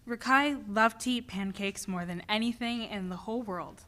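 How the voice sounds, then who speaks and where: A woman's voice: a little high, moderate pace, a bit bright, close to an everyday voice. A woman playing a stressed, driven mother and restaurant owner in a dramatic play reading. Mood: storytelling.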